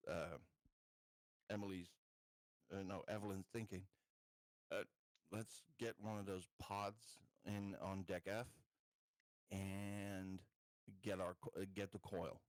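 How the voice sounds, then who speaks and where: A man's voice: low-pitched, flat delivery, slow, pauses often, no room sound. A man playing a tabletop game, talking casually and tentatively as he puts questions and plans to the group.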